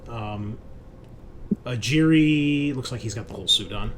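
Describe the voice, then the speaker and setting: A man's voice: medium pitch, measured pace. Casual male game master, faint background noise. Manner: casual, conversational. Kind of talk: running a tabletop roleplaying game.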